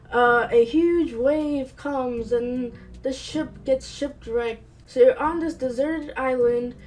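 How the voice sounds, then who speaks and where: A higher-pitched woman with a stuffy, slightly nasal voice from a cold, chatting through a relaxed game review. She keeps it playful with quick side remarks, and soft guitar music plays faintly behind her. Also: low-quality recording. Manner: chatty and playful, with light asides. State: congested from a cold, a bit nasal. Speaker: host of a gaming channel. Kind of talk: casual video game review.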